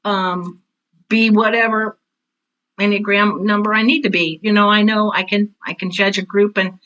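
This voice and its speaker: Interview guest: American woman, 60s, crisp bright delivery, frank, no-nonsense storyteller. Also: medium pitch, fairly even intonation, moderate pace, muffled recording. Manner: blunt, forthright, anecdotal. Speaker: interview guest, drama teacher and author. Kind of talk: candid personal conversation.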